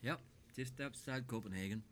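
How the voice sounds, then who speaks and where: An older man telling his life story in a casual chat, rambling through animated anecdotes with plenty of swearing. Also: mid-range voice, moderate pace.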